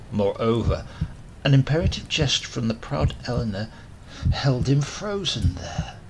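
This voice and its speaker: Gravelly male narrator of dramatic horror fiction. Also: mid-range voice, noisy background. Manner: theatrical, emphatic, formal delivery. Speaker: audiobook narrator.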